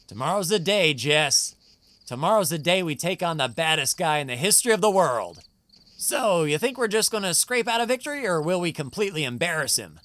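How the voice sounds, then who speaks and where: A cocky young warrior in a video game. He swaggers and boasts in a forceful, animated voice. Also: on the high side, a little fast. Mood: excited, boastful. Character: cocky, brash, big-hearted young male fighter.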